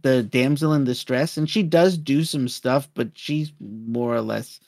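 A man co-hosting a casual film discussion, retelling scenes with animated acted-out quotes and often stumbling over repeated words. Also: medium pitch, a little slow, creaky, no echo, studio quality. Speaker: podcast co-host.

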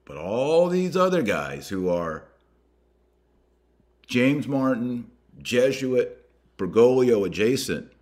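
An American man hosting a podcast, reading a document aloud and commenting on it with deliberate emphasis. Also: medium pitch, sing-song, darkish tone. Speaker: podcast host.

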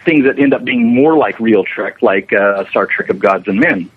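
A man's voice: on the low side, normal speed, slightly rough. A man being interviewed as a special effects animator, explaining his work conversationally with frequent restarts. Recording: narrow, tinny phone-like line.

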